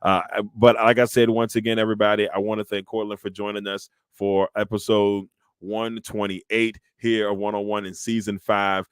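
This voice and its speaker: A man in his thirties hosts a relaxed interview show and chats with his guest over a video call. His voice sits on the low side and comes across easygoing, with frequent laughter and repeated phrases. The sound is dry and close. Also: moderate pace, clear, resonant tone, no echo. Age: 30s. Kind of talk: casual interview chat.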